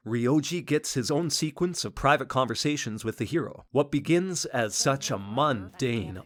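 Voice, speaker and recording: A man hosting a video essay, delivering scripted commentary on a video game. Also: medium pitch. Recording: music under the voice. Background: background music.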